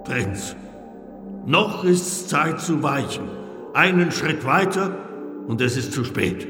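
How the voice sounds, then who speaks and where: A stern, ceremonious temple priest in a radio play, with a fairly high male voice. He sounds in a very echoey hall, with clear music behind him. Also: expressive, takes it slowly. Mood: stern, challenging. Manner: formal, ceremonious questioning. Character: stern, formal temple priest testing initiates. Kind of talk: radio drama acting.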